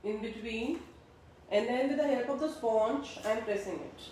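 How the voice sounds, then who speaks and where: A woman giving a live online craft class, explaining steps slowly and checking that attendees can hear her. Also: fairly high pitch, a little mellow, slight background noise. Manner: slow instructional, checks audibility with attendees. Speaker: craft teacher. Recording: distant echoey room mic.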